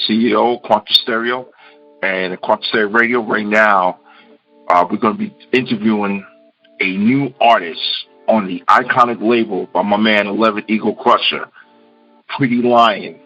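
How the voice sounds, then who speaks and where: A man's voice: fairly low pitch, steady tone, moderate pace, bright, forceful tone, dull audio, soft background music. A man from Queens, New York hosting a radio interview, talking casually and often saying "you know.". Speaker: radio host.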